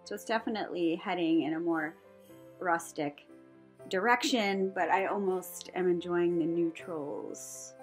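A woman's voice: fairly low pitch, a little slow. A woman in her fifties giving a casual, rambling, chatty voice-over for a home vlog, prone to chuckling. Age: 50s. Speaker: craft vlogger. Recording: music behind the voice. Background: music.

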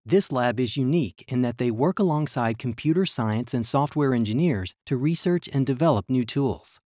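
A man reading a scripted virtual tour narration in an even, measured way. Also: medium pitch, dry recording, studio quality.

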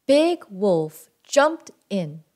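A woman reading a picture book aloud to children as host and narrator, expressive and deliberate in her delivery. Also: mid-range voice.

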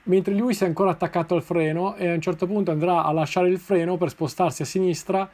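Measured Italian man explaining and analysing. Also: high voice, fairly even intonation, a little mellow. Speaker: motorsport video host. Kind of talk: informal race analysis commentary. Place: small indoor room, seated in a sim racing seat.

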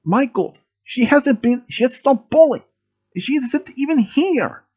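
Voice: sits high, lively intonation, a little slow, darkish tone, voice pushed up. A shy teenage boy acted out by a storyteller pleading in a dramatic story. The voice sits well above the narration, stammering and anxious. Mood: calming, anxious.

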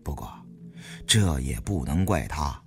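A man's voice: rumbling low, expressive, measured pace, slightly rough. A man narrating an audiobook as dramatic first-person storytelling.

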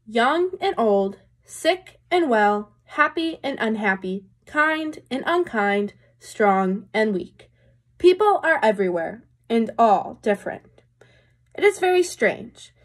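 Young female teacher, picture-book read-aloud, very varied intonation, a little husky, speaks directly to young listeners. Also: a little high, big pitch swings, takes it slowly. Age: young adult. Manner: questioning, explanatory, addressing young listeners. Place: small room indoors.

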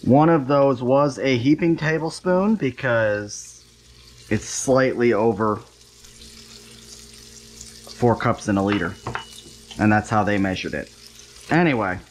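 A man's voice: medium pitch, takes it slowly, long pauses, noisy background. A man hosting a home cooking show, chatting casually and making wry, self-deprecating asides as he cooks. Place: home kitchen, stovetop.

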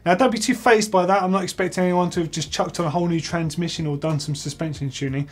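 Enthusiastic male host, 30s, slightly high, husky, chatty. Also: steady tone, quick pace. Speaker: host of a bike tech show. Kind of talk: casual reading and reacting to viewer entries. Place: workshop with tools on the wall.

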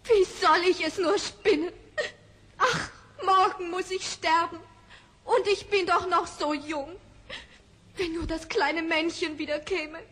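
A woman's voice: extremely high pitch, steady tone, takes it slowly, fairly bright tone, exaggerated voice. A woman playing a young, helpless miller's daughter, plaintive and sighing, in an old fairy-tale radio play. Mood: tearful despair. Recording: faintly noisy old record.

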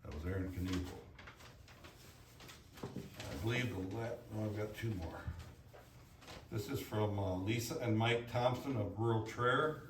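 A man reading prepared written statements aloud at a public meeting. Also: mid-range voice. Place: indoor public hearing room. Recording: echoey meeting room, distant mic, faint noise.

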